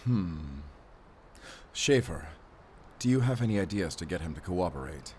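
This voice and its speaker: A man's voice: deep voice, animated, a little slow, darkish tone, slight background noise. A man playing a stern, guarded werewolf hunter, terse and commanding, in an audio drama. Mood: firm, commanding. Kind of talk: cinematic audio roleplay acting.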